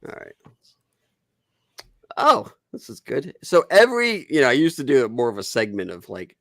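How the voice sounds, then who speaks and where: A man's voice: mid-range voice, wildly swooping pitch, normal speed, vocal fry, a bit bright, dry recording, clean studio sound. A man hosting a casual talk show, sarcastic and joking, laughing often and swearing. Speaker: podcast host. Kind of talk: casual chat, mocking commentary.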